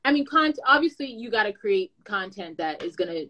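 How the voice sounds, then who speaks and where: Woman, working creator and brand manager, casual advice talk, chatty and playful, mid-pitched voice. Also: brisk. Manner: lively, chatty, with playful asides.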